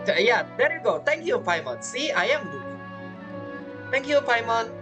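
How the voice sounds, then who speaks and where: A woman's voice: a little high, big pitch swings, moderate pace, music in the background. A woman streaming a game with casual, chatty and playful commentary. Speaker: gameplay streamer.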